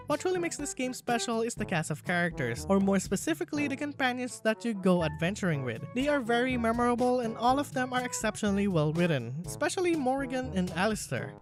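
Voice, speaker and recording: A woman narrating a scripted video game review in an earnest, chatty way on a failing home microphone. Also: on the high side. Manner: earnest, chatty, a little rambling at the end. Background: background music.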